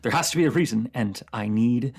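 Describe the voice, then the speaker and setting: A voice actor playing a bitter, wounded fantasy ruler in an emotional dramatic monologue, halting and speaking directly to one listener. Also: medium pitch, a little mellow, close to an everyday voice. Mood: hurt, pleading.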